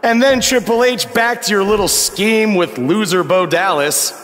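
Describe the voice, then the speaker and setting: Cocky, high-voiced upstart wrestler, echoing arena. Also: male voice, unhurried, fairly bright tone. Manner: brash, taunting. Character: cocky young male wrestler, defiant upstart champion. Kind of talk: wrestling promo in a video game. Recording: echoing arena, noisy ambience.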